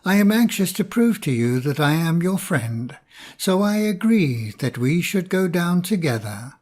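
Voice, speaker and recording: A man voicing a sly, scheming male fox in a storybook reading, smooth and persuasive. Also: high-pitched, big pitch swings, measured pace, a little mellow, voice pushed up, heavily acted.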